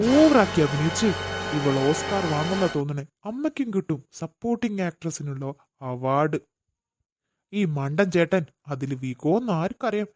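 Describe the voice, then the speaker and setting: A woman's voice: mid-range voice, lightly acted. Woman playing a wisecracking teenage brother. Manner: mocking, wisecracking. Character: sarcastic teenage younger brother.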